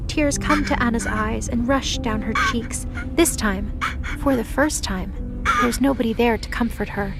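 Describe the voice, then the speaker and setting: A woman narrator reading a scripted dramatic story for an audio drama. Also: fairly high pitch. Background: music.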